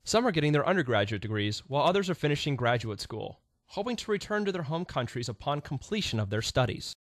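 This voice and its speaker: Lively male documentary narrator. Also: a little high, quick pace, no echo, clean studio sound. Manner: even, reading from a script. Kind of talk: scripted narration.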